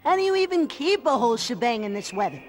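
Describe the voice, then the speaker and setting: A man voicing a little male possum sidekick in an animated game, daft and eager, with mock-serious drawn-out delivery. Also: very high voice, faint music behind. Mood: excitable. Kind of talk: animated game cutscene acting.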